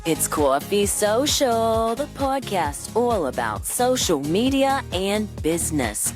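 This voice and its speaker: A woman reads a podcast's intro with lively, swooping pitch and a slow, drawn-out delivery. Loud music plays under her voice. The sound is dry and close. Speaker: show intro announcer.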